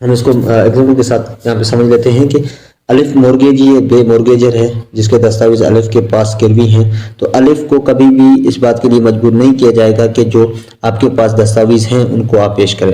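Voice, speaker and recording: A Pakistani man teaches like a law lecturer preparing students for an exam. His voice is soft-edged and mellow at an ordinary male pitch. He explains haltingly, circling back to repeat each point and illustrating it with simple examples. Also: little pitch movement, rough recording.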